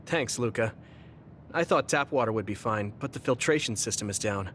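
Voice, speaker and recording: An actor playing a kind, level-headed young man in an anime game, gentle and considerate. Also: on the low side, normal speed, a little hiss or hum, close to an everyday voice. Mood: kind, calm.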